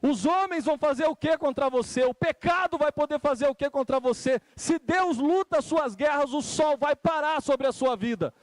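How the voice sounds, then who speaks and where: A man's voice: very high voice, moderate pace, hardly any pauses, no room sound. A Brazilian man preaching a church sermon, repeating phrases for emphasis and speaking directly to his listeners in a conversational way. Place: live church service.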